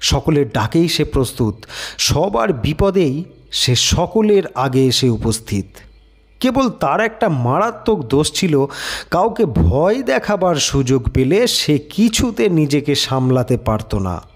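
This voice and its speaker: Male audiobook narrator for literary fiction: expressive, very lively intonation, unhurried pace. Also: fairly high pitch. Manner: expressive storytelling, acts out characters' lines. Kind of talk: scripted literary story narration.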